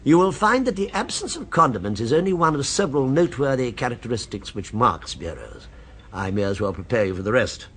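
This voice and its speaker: A man's voice: mid-range voice, very animated, fairly quick, fairly bright tone, husky, exaggerated voice. An actor in an old radio drama playing a refined man obsessed with a restaurant, who speaks in a precise, insinuating, knowing way. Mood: knowing, earnest. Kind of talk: 1970s radio drama acting. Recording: old radio broadcast recording.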